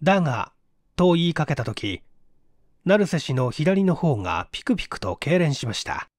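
A man's voice: on the high side, sing-song, normal speed, no room sound, studio quality. A man reading scripted story narration as an audiobook narrator.

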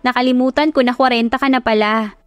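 A woman voicing a young wife and new mother who scolds and teases in a loud, high voice. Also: steady tone, fast talker, no room sound, close to an everyday voice. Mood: teasing.

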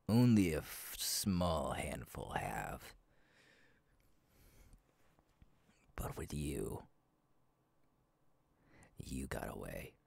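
A man playing a menacing, taunting masked slasher killer in an ASMR roleplay, gravelly and partly whispered, speaking slowly and deliberately close to the mic. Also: rumbling low, no room sound, exaggerated voice. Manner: slow, deliberate, taunting, drawn-out pauses. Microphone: close microphone.

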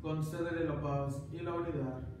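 A man in his thirties, a priest reciting the prayers of Mass in a steady recited manner, in a small chapel picked up by a distant camera mic. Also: fairly high pitch, normal speed. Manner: steady recited prayer, chants some parts. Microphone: far camera. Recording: echoey chapel, distant mic, background hiss.

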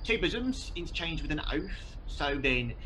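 A man's voice: on the high side, sing-song, moderate pace, bright, forceful tone, a little hiss or hum, poor audio. An actor playing an amorous young man, hesitant and wheedling, in a Shakespearean radio comedy. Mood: amorous, sheepish. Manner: hesitant, chuckling, sheepish.

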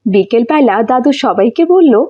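A woman's voice: a little high, expressive, measured pace, lo-fi sound. A woman narrator reading scripted storytelling for a children's cartoon.

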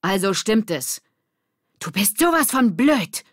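A woman voicing hot-tempered, quarrelling schoolkids in a children's audiobook, sharp and accusing. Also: mid-range voice, sing-song, slow pace, no echo, studio quality, voice pushed up. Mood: angry, accusing. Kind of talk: acted children's audiobook dialogue.

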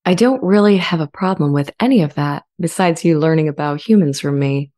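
A woman playing an earnest, gentle artificial intelligence in a dramatized transcript, speaking in a measured, deliberate way. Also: low voice, lightly acted. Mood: calm, inquiring. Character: earnest, gentle female-voiced sentient AI language model. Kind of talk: scripted dramatized transcript reading. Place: indoor home studio. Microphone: large studio condenser with pop filter, close.

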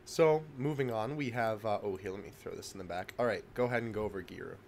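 A man's voice: on the low side, fast, creaky, darkish tone, slight background noise. A man hosting a casual gaming news chat. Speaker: host.

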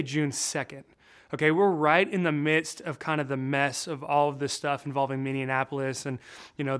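A man preaching a casual youth sermon, upbeat and chatty, hoarse from losing his voice. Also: on the high side, fairly bright tone. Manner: upbeat, chatty, earnest in prayer. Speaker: youth pastor.

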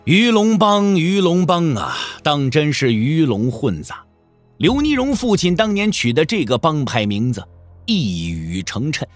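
Animated male storyteller, lightly high voice. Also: unhurried, dry recording, soft background music. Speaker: narrator. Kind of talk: dramatic scripted audiobook narration. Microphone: close studio microphone.

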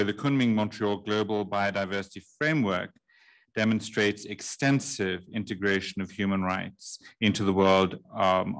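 A middle-aged man with a deep, low voice delivers formal remarks into a table microphone. His intonation stays flat and measured, with the occasional um, and the sound is that of a large conference room. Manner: measured, formal, with frequent ums. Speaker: panel chair giving opening remarks. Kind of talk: formal speech, partly read.

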